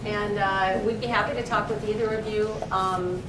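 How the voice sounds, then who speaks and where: A woman's voice: mid-range voice, steady tone, moderate pace. Woman, professor at a library talk, earnest, searching for words, distant mic, noisy echoey room. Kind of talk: discussion in an academic talk.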